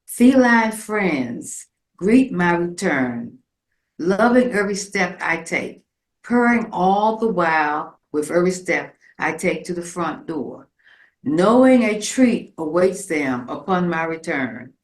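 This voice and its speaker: A woman's voice: lowish voice, animated, takes it slowly. A woman from rural Virginia reciting a scripted poetic monologue in a theatrical, lyrical manner at a community performance. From rural Virginia, United States. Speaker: community performer reading own piece. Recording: roomy, echoing live space.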